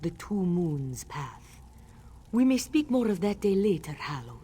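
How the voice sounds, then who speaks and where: Game priestess: solemn cat-folk ritual leader, lowish voice, very unhurried, ceremonial. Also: female voice, sing-song, dark tone, husky, heavily acted. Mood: solemn explaining. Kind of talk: fantasy video game character dialogue.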